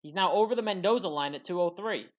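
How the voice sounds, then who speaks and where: Woman narrating a sports highlights recap. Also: fast talker. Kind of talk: scripted play-by-play recap narration.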